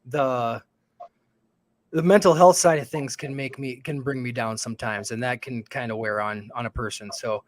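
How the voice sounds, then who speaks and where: Forties male co-host, plain mid-pitched voice, halting and reflective. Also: steady tone, no echo. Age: 40s. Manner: reflective, halting with many fillers. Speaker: livestream co-host. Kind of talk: casual livestream chat.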